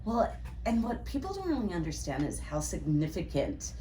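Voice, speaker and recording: Animated woman host, heard from a distance. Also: fairly low pitch, normal speed, slight background noise. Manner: chatty and animated, laughs easily. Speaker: show host. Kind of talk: casual interview chat.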